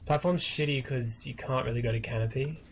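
Male gamer, casual team strategy talk, mid-pitched, slight background noise. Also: a little fast, vocal fry. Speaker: team player calling strategy. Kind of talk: casual gaming team voice chat. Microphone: gaming voice chat microphone. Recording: noisy gaming voice chat.

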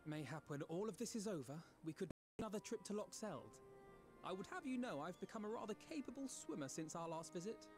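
Light-voiced, formal elf youth. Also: male voice, high-pitched, animated, fairly quick, soft background music. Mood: wistful, proud. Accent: British. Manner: formal, archaic diction. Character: earnest, scholarly teenage elf boy, formal. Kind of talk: game cutscene voice acting.

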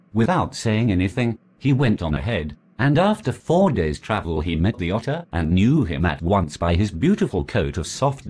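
Man, deep voice, lively intonation, deliberate folk-tale narration. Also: slightly rough. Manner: measured, deliberate storytelling. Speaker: storyteller. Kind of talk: reading a folk legend aloud.

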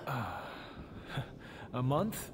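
A cocky middle-aged demon hunter. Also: male voice, mid-range voice, sing-song, extremely slow, background noise. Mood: groggy, wry. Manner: wry, casual, assertive. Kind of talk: video game cutscene acting.

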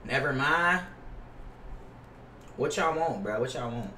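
Bright-voiced American man, profane game streamer. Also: mid-range voice, expressive, slow pace, roomy sound, recorded from a distance, a little hiss or hum. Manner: profane, slangy, repetitive, griping. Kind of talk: casual livestream chat while gaming. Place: indoor home gaming room. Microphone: headset mic.

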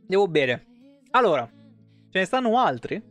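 A man reads game lore aloud on a live stream. He slips between narration and casual asides in an informal way. Soft plucked guitar music plays behind him. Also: sits high, very animated, moderate pace. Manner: informal, breaks off to comment. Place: indoor home streaming setup.

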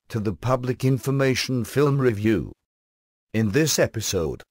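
A British man narrating a scripted comic review as the host, in a sardonic, dry-humoured manner. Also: mid-range voice.

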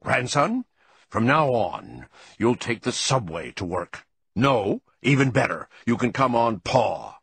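A man voicing a tough-talking, stingy old grandfather mouse in a storybook, shouting and domineering, with drawn-out emphasis. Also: mid-range voice, sing-song, vocal fry, husky, no echo, heavily acted. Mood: bossy, indignant. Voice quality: shrieking, shouting.

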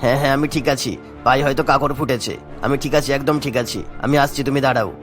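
A man's voice: mid-range voice, steady tone, fast, soft background music, lightly acted. A man voicing a kindly, dutiful elderly village doctor in a cartoon, earnest and reassuring in manner. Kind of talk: Bengali cartoon voice acting.

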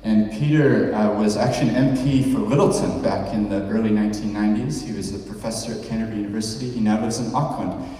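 A man's voice: lowish voice, fast, hardly any pauses, mellow. Man talking from far off in an echoey hall. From New Zealand. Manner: conversational, asks the audience questions. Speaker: podcast host giving a talk. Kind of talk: short conference talk. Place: large hall with a stage. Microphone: handheld mic, heard from far off.

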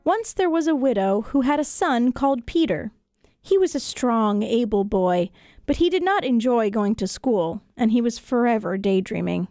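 A woman storyteller reading children's tales in an expressive audiobook narration style. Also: on the high side, moderate pace, no echo, studio quality, faint music behind. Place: radio studio.

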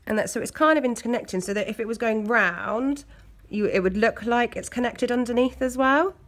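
A woman with an English accent, hoarse from a cold, chatting casually as she talks through a nail art tutorial. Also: fairly high pitch, fairly quick. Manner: chatty, loose, lots of fillers. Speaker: nail technician. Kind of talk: step-by-step tutorial narration.

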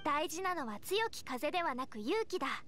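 A woman's voice: high-pitched, very animated, moderate pace, pushed and bright, a little hiss or hum, full character voice. A woman playing a cheerful, eager young knight scout in an anime game, girlish, chirpy and earnest. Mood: bashful, earnest. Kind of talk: Japanese anime game voice acting.

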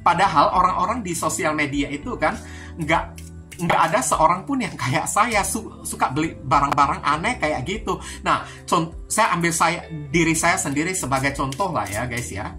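Woman, from Indonesia, tarot reader, slightly low pitch, bright edgy tone, chatty, repetitive, informal, addressing viewers. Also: expressive, normal speed. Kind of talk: informal card-reading talk to viewers. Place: indoors at a card table. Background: music.

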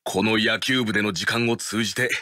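An actor playing an earnest, dignified young baseball captain with a sincere manner in an anime-style game. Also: male voice, fairly low pitch, fairly even intonation, normal speed, dry recording, studio quality. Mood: sincere, resolute. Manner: sincere, solemn. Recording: loud game music behind the voice.

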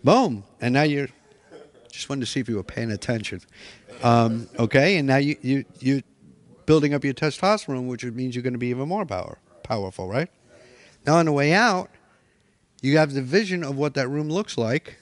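A man's voice: medium pitch, expressive, normal speed, long pauses, no echo. A middle-aged man presenting a workshop in a hotel meeting room, coaching people step by step.

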